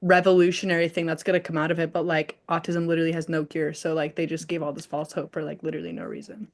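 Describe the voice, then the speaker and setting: A woman's voice: sits low, quick pace. A young woman, a college student, chatting casually in a class study group and filling her talk with "like" and "literally". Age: young adult. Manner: chatty, says "like" and "literally" a lot.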